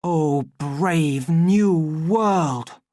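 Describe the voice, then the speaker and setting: A reader playing an impassioned, naive young male outsider in an audiobook, declaiming in a loud, ringing way. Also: mid-range voice, extremely slow, no room sound, clean studio sound, pitched above the performer's own voice. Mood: fervent wonder.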